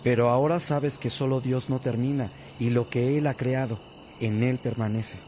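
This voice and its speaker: An actor playing an earnest, attentive disciple of a priest, speaking in a measured way in a religious radio drama. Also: male voice, mid-range voice, fairly even intonation, a little mellow, slight background noise, close to an everyday voice.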